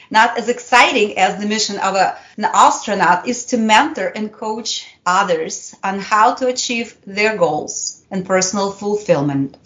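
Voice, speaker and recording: A woman in her fifties, a university lecturer whose first language is Russian, speaking with a Russian accent and telling a prepared personal story in a measured, earnest way. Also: medium pitch. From Soviet Union.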